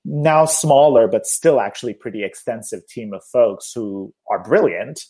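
A man's voice: medium pitch, unhurried, warm tone, breathy edge. A man in his thirties from Turkey, a theater producer, talking casually and reflectively with many fillers. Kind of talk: casual conversation.

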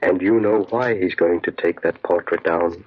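Deep, husky sinister tempter. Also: male voice, steady tone, no room sound. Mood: insinuating, urging. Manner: insistent, insinuating, repeats phrases slowly and knowingly. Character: sinister inner voice, insidious male tempter urging crime. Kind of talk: 1940s radio horror acting. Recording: dull, lo-fi old radio recording.

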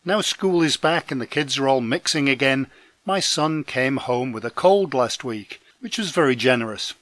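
A man hosting a podcast news roundup, his voice deepened by a head cold, reading from a script with dry, self-deprecating asides. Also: expressive, moderate pace, fairly bright tone, no echo. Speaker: podcast host and trade magazine editor. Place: home in Scotland.

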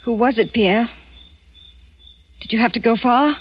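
An actress playing an anxious, fearful French wife in a 1940s radio thriller, halting and dramatic. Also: female voice, a little high, no room sound. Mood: timid, nervous. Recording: muffled vintage radio recording with music.

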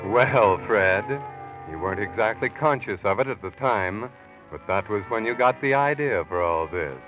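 Guy playing creepy old-time radio narrator, really low voice, mocking, music under muffled sound. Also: expressive, moderate pace, natural voice. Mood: knowing. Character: sinister omniscient male narrator who knows dark secrets. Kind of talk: 1940s radio mystery acting.

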